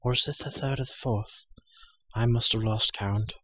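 A man's voice: lowish voice, fairly even intonation, moderate pace, a bit bright, dry recording. A man voicing an irritable, haughty young girl in an audiobook, scolding in a drowsy murmur. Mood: drowsy irritation. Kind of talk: audiobook character voice.

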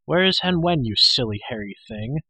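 A man's voice: mid-range voice, lively intonation, normal speed, sharp tone, breathy edge, no echo, voice pushed up, exaggerated voice. A man voicing an impatient, hot-tempered young storybook hero who scolds in outbursts. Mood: impatient, angry. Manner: bursting out, scolding. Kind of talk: storybook character voice.

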